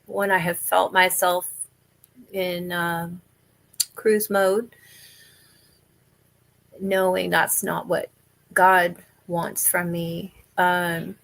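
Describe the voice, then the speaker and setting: A woman's voice: lowish voice, level tone, extremely slow, creaky, pauses often, a bit bright. A middle-aged woman guest on a podcast, speaking candidly and earnestly about faith, diet and illness. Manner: candid and earnest, laughs easily. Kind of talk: conversational interview.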